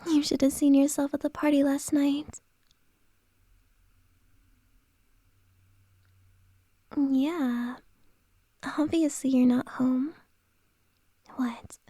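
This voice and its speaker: A woman performing an ASMR roleplay as a flirtatious, teasing female boss, speaking in a soft whisper close to the mic. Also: sits high, flat delivery, slow pace, pauses often, no echo.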